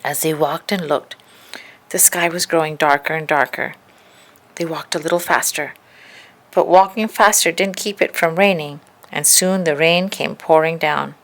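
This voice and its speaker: A woman reading a children's story aloud in an expressive, deliberate narrating style. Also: sits low. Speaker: storybook reader.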